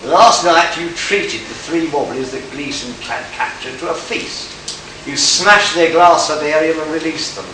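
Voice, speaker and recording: Guy doing a shrewd stern radio detective, declaiming, a bit high, echoey as anything. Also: a little slow, lightly acted. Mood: sardonic, accusing. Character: stern, shrewd male detective accusing murder suspects. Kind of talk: amateur radio play acting. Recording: echoey, distant live recording with noise.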